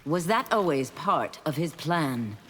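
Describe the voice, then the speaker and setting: An actress playing an earnest, determined penitent seeking redemption in a video game, grave and searching. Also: female voice, sits low, sing-song, measured pace, a bit bright, long reverb tail, a little hiss or hum, full character voice. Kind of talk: video game character dialogue.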